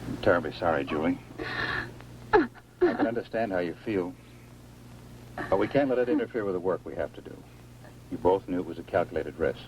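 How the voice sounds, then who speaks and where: A man's voice: sits low, big pitch swings, fairly quick, pauses often, a little mellow, a little hiss or hum, low-quality recording. A man acting a commanding, formal scientist who leads a space project in a 1950s science fiction film. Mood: solemn.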